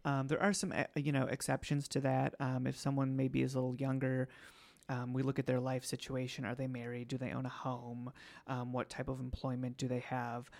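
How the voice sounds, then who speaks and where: A man hosting a podcast, slightly hoarse today, explaining things casually with many ums. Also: medium pitch, completely flat delivery, a little fast, a little mellow. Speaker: podcast host.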